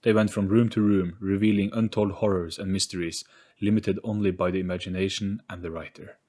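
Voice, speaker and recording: Low-pitched man narrating an audio story. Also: steady tone, fairly quick, mellow.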